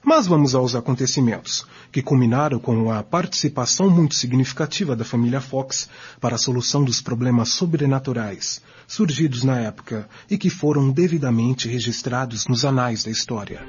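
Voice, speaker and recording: A man's voice: medium pitch, moderate pace, breathy edge. A man reading scripted, documentary-like narration for a radio drama. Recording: dull lo-fi audio over music.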